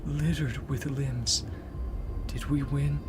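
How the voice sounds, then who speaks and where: Man voicing a mournful fallen soldier, pitched up. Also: steady tone, takes it slowly, music in the background, close to an everyday voice. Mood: dazed, anxious. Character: fallen male soldier comrade, mournful and reproachful. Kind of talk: dramatic game dialogue read aloud.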